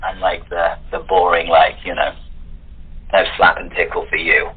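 Low husky man on a tinny phone line. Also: flat delivery, moderate pace. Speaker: radio play actor as guest. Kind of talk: casual joking chat.

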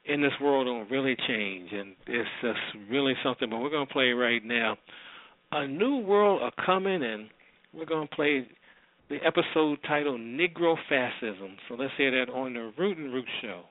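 A man's voice: mid-range voice, lively intonation, moderate pace. Male, American accent, radio host, conversational, rambling, roomy thin-band sound. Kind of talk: casual spoken introduction of old-time radio shows. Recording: roomy, phone-like narrow sound.

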